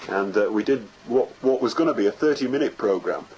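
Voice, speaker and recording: A man's voice: lowish voice, animated, a little fast, darkish tone, lo-fi sound, a little hiss or hum. A man chatting casually as an interview guest. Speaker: interview guest, radio drama maker.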